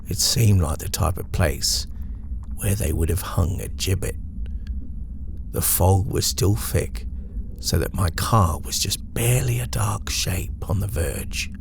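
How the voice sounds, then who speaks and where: Slow, gravelly British male storyteller. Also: sits low, lively intonation, noisy background. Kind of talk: first-person horror story narration.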